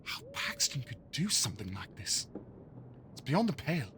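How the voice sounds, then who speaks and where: An actor playing a harried, exasperated Victorian police inspector in an audio drama, gruff and formal. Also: male voice, mid-range voice, wildly swooping pitch, normal speed, bright, forceful tone, slight background noise.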